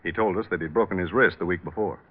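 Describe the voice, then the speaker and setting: A man's voice: low voice, fast. An actor playing a polite, hesitant man in vintage radio crime drama style. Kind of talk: 1940s-50s radio drama acting. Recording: narrow, tinny old radio recording.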